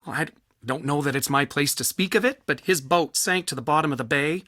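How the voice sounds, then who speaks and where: A man playing a fussy, excitable, scholarly culture center organizer in a video game, with polite but theatrical, easily flustered delivery. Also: fairly high pitch, brisk, no room sound, studio quality. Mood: chatty, polite. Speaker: game character, festival organizer. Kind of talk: video game character dialogue.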